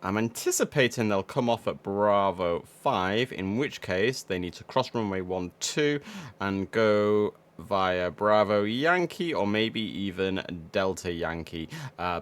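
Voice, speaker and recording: A young man streaming an air traffic control game, chatty and enthusiastic with lots of fillers, sniffly from hay fever. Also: sits low. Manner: chatty and enthusiastic, many fillers and self-corrections. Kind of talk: casual live-stream commentary.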